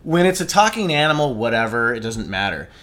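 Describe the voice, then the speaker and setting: A man's voice: medium pitch, big pitch swings, fairly quick, fairly bright tone. A man in his forties co-hosting a movie review, talking casually with some swearing and laughter. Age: 40s. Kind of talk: casual chat.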